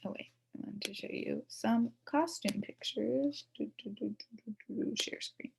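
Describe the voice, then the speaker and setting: A woman reading a children's storybook aloud in an expressive, playful way. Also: on the high side, moderate pace, vocal fry, a little hiss or hum, low-quality recording. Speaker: story reader.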